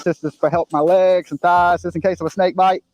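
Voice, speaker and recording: A man's voice: high-pitched, little pitch movement, a little fast, highs cut off, over music. A man speaking to camera outdoors as a search leader, explaining things with a showman's flair. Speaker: amateur search team leader. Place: outdoors at the edge of woods.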